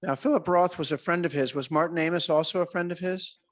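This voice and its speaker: A man leads a festival Q&A with a film director, hesitating often and restarting his questions. His voice sits a little high. He talks over a video call. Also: fairly even intonation, quick pace. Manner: hesitant, many ums and restarts. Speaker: festival interviewer. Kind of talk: festival Q&A interview.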